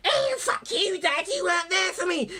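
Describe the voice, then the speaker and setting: A man mocking a defiant teenage boy hero with a whiny, shouted voice. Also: extremely high pitch, animated, moderate pace, pitched above the performer's own voice, heavily acted. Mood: mocking defiance.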